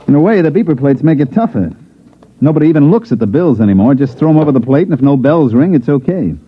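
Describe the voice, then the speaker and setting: A man's voice: a little high, very animated, fast talker, breathy edge, highs cut off. An American man playing a wry, hard-boiled government investigator, acting and narrating in a sardonic 1950s radio drama style. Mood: dry, casual. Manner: wisecracking, sardonic delivery. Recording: thin, band-limited old radio recording with music.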